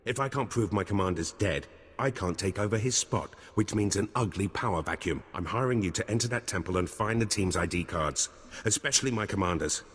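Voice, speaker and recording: Cocky deep-voiced intelligence captain. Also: male voice, fairly quick, a bit bright, husky, big-room sound. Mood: conspiratorial. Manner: breezy, sardonic, theatrical.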